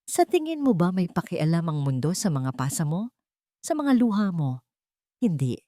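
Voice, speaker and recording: A woman's voice: lowish voice, big pitch swings, moderate pace, warm tone, no room sound, studio quality. A woman voicing a cold, proud, wealthy matriarch in an audio drama, speaking with bitter menace. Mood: cold, menacing. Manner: bitter, threatening, whispering venom.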